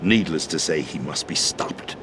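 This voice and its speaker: An actor playing a weary, formal deposed president and schemer in a video game, explaining things in a measured, deliberate way. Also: male voice, rumbling low, expressive, noisy background. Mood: grave, deliberate. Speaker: video game character in a cutscene. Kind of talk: dramatic expository video game acting.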